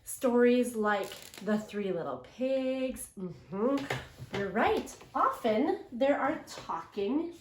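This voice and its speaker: A woman teacher leading a read-aloud lesson for young children, asking questions and pausing to affirm their answers. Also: fairly high pitch, big pitch swings, noisy background. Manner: interactive, asks questions and affirms imagined answers. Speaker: elementary English language development teacher. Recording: roomy, echoing sound.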